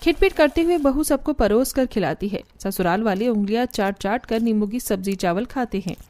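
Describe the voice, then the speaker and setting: A woman's voice: on the high side, moderate pace, darkish tone. A woman giving scripted narration for a cartoon family story.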